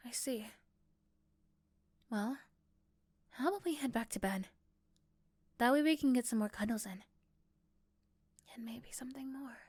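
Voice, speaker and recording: A woman's voice: on the high side, unhurried, pauses often, darkish tone, husky, no echo. A woman voicing a possessive yandere girlfriend in an ASMR roleplay, coaxing and pleading with tender pet names. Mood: tender, soothing.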